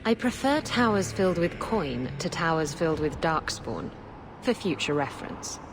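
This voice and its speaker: An actress playing a flippant, mercenary rogue adventurer in a video game, dry and teasing. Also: female voice, deep voice, big pitch swings, moderate pace, over music. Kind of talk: video game dramatic acting.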